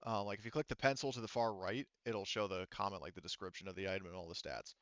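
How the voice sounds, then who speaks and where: Low, smooth-voiced man running a game. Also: fast talker, fairly bright tone, no echo, dull audio. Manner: hesitant, thinking aloud. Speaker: game master. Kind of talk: casual tabletop game refereeing.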